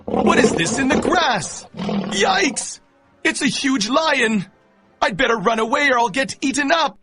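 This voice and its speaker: A man's voice: very high-pitched, measured pace, exaggerated voice. A man playing a timid, kind-hearted runaway slave in a children's cartoon, earnest and exclamatory. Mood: startled fear. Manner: exclamatory, over-expressive. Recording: music underneath.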